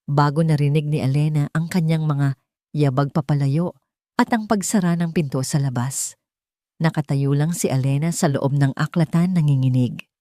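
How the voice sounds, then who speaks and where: A woman's voice: low voice, moderate pace, dark tone, no echo, clean studio sound. A woman reading a scripted dramatic story as a storyteller.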